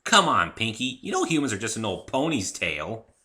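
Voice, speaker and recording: A man's voice: lowish voice, wildly swooping pitch, moderate pace, bright, forceful tone, voice pushed up, heavily acted. A man voicing a brash, sporty, boyish female cartoon pegasus with a blunt, cocky manner in a story reading. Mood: disbelieving.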